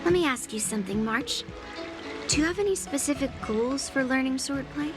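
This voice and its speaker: A woman's voice: sits high, animated, normal speed. A woman playing a confident, blunt young girl swordmaster in video game voice acting, frank and teasing. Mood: challenging. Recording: game music behind the voice. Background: game background music.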